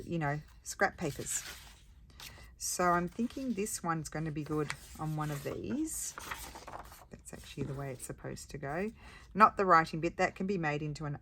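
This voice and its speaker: A woman with a croaky voice, chatting casually and thinking aloud as she makes paper crafts at her craft table. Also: low voice, a bit bright, a little hiss or hum. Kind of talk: casual crafting chat while working.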